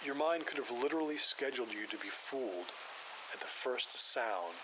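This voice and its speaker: A man playing a calm, skeptical paranormal investigator in an audio drama, explaining in a measured, clinical way. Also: mid-range voice, lightly acted. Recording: hiss and rain noise.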